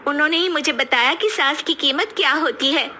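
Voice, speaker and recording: A woman as very high-pitched young daughter-in-law. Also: normal speed, noisy background, natural voice. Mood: delighted, excited. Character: young Indian daughter-in-law, touchy but affectionate. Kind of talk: Hindi moral cartoon story voice acting.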